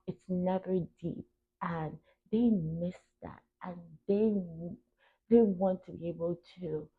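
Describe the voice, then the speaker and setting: Phone-like recording of a gravelly, earnest woman. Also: lowish voice. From Cameroon. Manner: earnest, emphatic, pausing between short phrases. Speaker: podcast host. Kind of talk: reflective personal talk. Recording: tinny phone-like narrow band.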